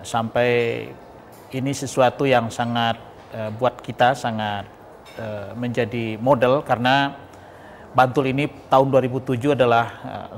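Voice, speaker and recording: An earnest man answers as an interview guest. His voice is of middling pitch and he explains at length, point by point, in a hall with long reverb and faint noise. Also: steady tone, measured pace. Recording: echoing hall, noisy background.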